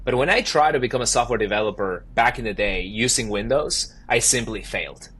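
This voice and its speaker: A man with an Argentinian accent, a startup founder answering podcast interview questions in animated, emphatic bursts. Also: mid-range voice, brisk, husky.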